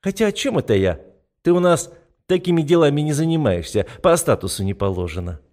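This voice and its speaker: A man's voice: fairly high pitch, big pitch swings, a little fast, a little mellow, pitched above the performer's own voice. A man voicing a brash, bossy young woman who teases her best friend in an audiobook. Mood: teasing. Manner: categorical, pushy, mocking.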